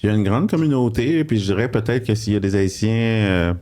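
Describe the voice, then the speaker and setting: A man with a Quebec French accent, a guest chatting casually and pausing often. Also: fairly low pitch, mellow. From Quebec, Canada. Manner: hesitant, with frequent pauses. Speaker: guest comedian. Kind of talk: casual chat.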